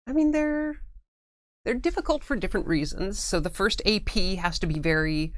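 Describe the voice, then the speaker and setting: A woman's voice: mid-range voice, animated, normal speed. A woman speaking as an interview guest, explaining things in casual chat with hypothetical examples. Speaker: guest, tabletop RPG adventure writer. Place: studio.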